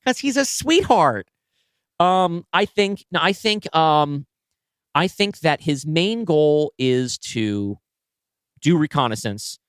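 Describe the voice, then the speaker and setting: A man co-hosting a podcast, brainstorming story ideas in a casual, halting conversation as he thinks aloud. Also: a little high, sing-song, smooth, no room sound, clean studio sound. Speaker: podcast co-host and story writer.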